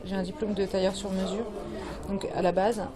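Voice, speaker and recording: A woman in her thirties from Brittany, a fashion designer guest, talking casually and haltingly with many fillers and restarts. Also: mid-range voice, little pitch movement. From France, Brittany. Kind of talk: casual interview talk. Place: bar evening event with crowd. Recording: crowd chatter behind.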